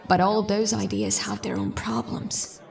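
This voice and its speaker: A determined female detective in a sci-fi audio drama, played by a woman. She thinks aloud in a dry, sceptical way, with a fry-edged voice at middle pitch. The voice sits slightly away from the microphone. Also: flat delivery, moderate pace, recorded from a distance, a faint crowd. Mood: frustrated musing. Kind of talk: audio drama dialogue and investigative monologue.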